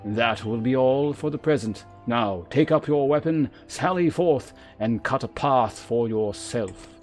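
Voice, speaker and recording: A man voicing a stern, demanding lancer guildmaster from a fantasy game, speaking in a formal, measured, commanding manner. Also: medium pitch, darkish tone, soft background music, natural voice. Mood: stern, instructive. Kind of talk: voiced fantasy game dialogue.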